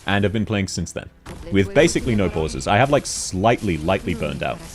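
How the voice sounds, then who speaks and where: Giggly deep-voiced man streaming a game. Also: very animated, fairly quick. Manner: giggly, reads chat aloud and answers it, frequent laughs. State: stuffy from hay fever. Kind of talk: casual game livestream chat.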